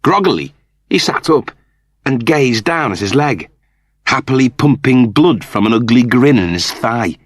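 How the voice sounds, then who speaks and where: A man reading a story as an audiobook narrator, in an expressive scripted style. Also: mid-range voice, measured pace, no room sound, clean studio sound.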